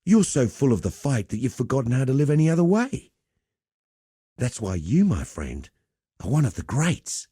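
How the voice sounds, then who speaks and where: An actor playing a roguish, cheerful veteran pit fighter in a video game, talking in a colloquial, wry, swaggering storyteller's way. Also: male voice, mid-range voice, very animated, normal speed, warm tone, husky, no echo, clean studio sound. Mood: earnest, admiring. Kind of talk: scripted game dialogue.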